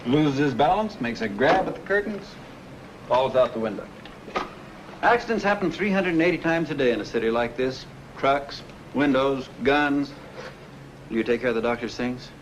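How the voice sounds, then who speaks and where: An actor playing a brusque, world-weary police detective in a 1950s film noir, American accent, blunt and clipped, on an old film soundtrack. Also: male voice, mid-range voice, lively intonation, moderate pace, background noise, close to an everyday voice. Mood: brusque, matter-of-fact. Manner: blunt, matter-of-fact, clipped.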